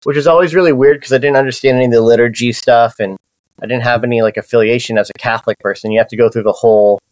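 A man's voice: medium pitch, steady tone, very fast, darkish tone, no echo. A man, telling his life story in a loose, casual way with many fillers and restarts. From Southern California. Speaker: podcast guest.

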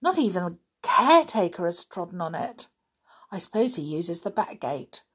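A woman's voice: lowish voice, very animated, moderate pace, husky. A woman voicing a sensible, chatty young girl in a children's story reading.

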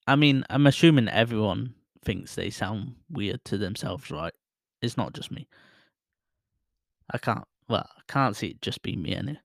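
A man's voice: fairly low pitch, expressive, moderate pace, creaky, no room sound. Chatty man reviewing a microphone up close. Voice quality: voice others call soothing. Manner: rambling, self-deprecating, laughs often. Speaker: tech reviewer testing a microphone. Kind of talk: casual product review. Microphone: close studio microphone with headphones.

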